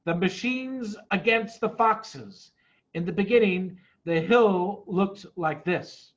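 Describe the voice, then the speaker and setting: A man's voice: sits high, takes it slowly, muffled recording. A man reading a children's story aloud in a theatrical, emphatic manner. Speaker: storybook reader, school head.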